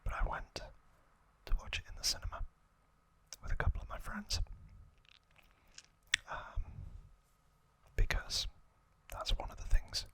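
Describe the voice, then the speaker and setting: Young guy doing asmr, all whispered, super slow lil phrases, close on the mic, sharp breathy sound. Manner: hushed, slow, deliberate delivery in short separated phrases. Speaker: host of an ASMR review series. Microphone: close ASMR microphone.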